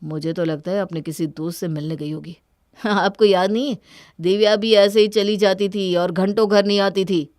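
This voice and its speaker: A woman's voice: medium pitch, steady tone, moderate pace, darkish tone, no echo, clean studio sound, lightly acted. A woman voicing a suspicious, bitter young husband in a cartoon family story. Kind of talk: Hindi cartoon moral-story voice acting.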